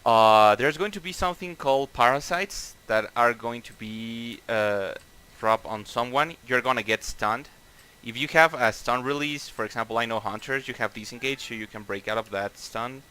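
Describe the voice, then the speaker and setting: Man, Mexican accent, bright forceful tone, gaming raid leader on headset, loose and sweary. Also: mid-range voice, moderate pace, smooth. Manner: casual, profane, many fillers and restarts. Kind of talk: explaining boss tactics over voice chat.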